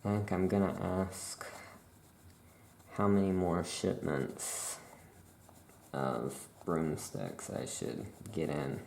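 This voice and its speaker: A very creaky, soft-spoken voice plays an easygoing witch shopkeeper in an ASMR roleplay. The delivery is slow and rambling, often trailing off. It sounds recorded in a roomy, echoing space. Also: deep voice. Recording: roomy echo, faint background noise.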